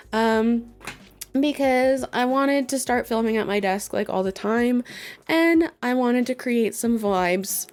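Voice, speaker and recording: A young woman talking casually into the camera at her home desk setup. Her voice is a little phlegmy and worn from being sick, and she sits at a middle pitch for a woman. She chats loosely, with plenty of fillers and small laughs. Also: a little mellow. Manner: chatty, filler-heavy, giggly. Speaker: YouTuber. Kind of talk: casual commentary vlog.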